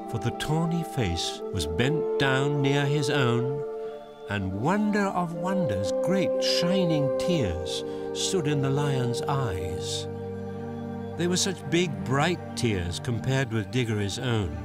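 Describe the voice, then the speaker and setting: Guy doing earnest radio play narrator, measured storytelling, slow, music under it. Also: medium pitch, natural voice. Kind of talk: scripted radio drama narration.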